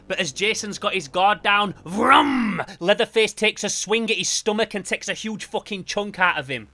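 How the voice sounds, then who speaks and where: High, bright, excitable man. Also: moderate pace, no echo. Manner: excitable, foul-mouthed, makes sound effects. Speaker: comic book reviewer. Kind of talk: animated sweary storytelling commentary.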